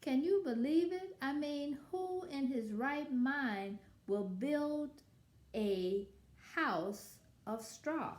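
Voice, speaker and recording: A woman voicing a chatty, self-justifying male wolf from a children's storybook, confiding and indignant with drawn-out emphasis. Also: sits high, animated, voice pushed up, exaggerated voice. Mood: incredulous. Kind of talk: children's storybook read-aloud. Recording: echoey room.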